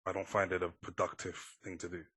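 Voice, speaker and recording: A man's voice: sits low, monotone, quick pace, fairly bright tone, slightly rough, no echo. A man hosting a podcast interview, chatting casually with fillers. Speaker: podcast host. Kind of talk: interview conversation.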